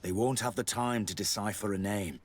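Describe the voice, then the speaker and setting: An actor playing a worldly, chatty army quartermaster in a video game, a man with a husky voice. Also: lowish voice, moderate pace. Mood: matter-of-fact. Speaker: military quartermaster.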